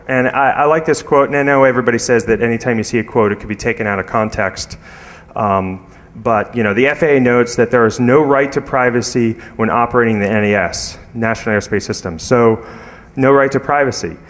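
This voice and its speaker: A man speaking as a conference presenter, giving an informal technical talk in a conversational, halting way with many ums and asides. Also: mid-range voice. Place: large conference hall, addressing an audience. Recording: reverberant hall recording.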